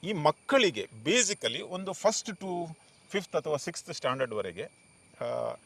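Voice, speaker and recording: A man's voice: on the high side, very animated, a little slow, gravelly. A man hosting an interview conversationally, often mixing in English words. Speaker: show host, interviewer.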